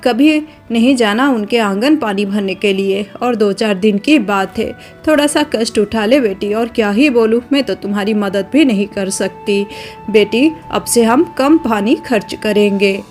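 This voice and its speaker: A woman voicing a kindly older village mother-in-law who gently advises her daughter-in-law. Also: fairly high pitch, lightly acted.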